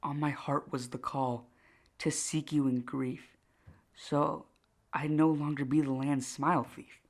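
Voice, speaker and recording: A man's voice: fairly high pitch, level tone, unhurried. A man playing a melancholic boy in a puppet film, speaking formal, earnest verse. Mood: earnest, defiant. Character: melancholic rhyming boy, bitter yet earnest.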